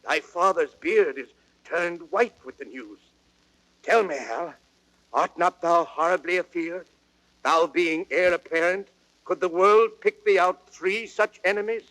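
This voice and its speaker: An actor playing a prince's roguish, alarmist companion in old radio Shakespeare style. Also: male voice, high voice, measured pace, a bit bright, rough recording. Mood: alarmed, goading. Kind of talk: 1930s radio Shakespeare acting.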